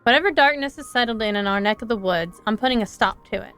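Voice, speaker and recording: A woman's voice: on the high side, quick pace, a bit bright, clear, resonant tone. A woman streaming a visual novel, reading it aloud expressively and chatting along, with faint music behind. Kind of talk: live game commentary and dramatic read-aloud of a visual novel.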